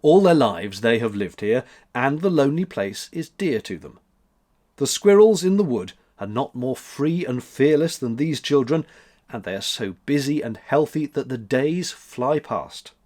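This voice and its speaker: A man reading a classic story aloud as an expressive storyteller. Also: mid-range voice, unhurried. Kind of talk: expressive scripted story narration.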